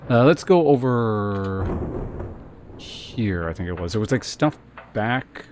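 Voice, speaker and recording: A man's voice: on the low side, expressive, takes it slowly, background noise. A man casually commentating on a video game live, thinking aloud in a hesitant way as he chats with viewers.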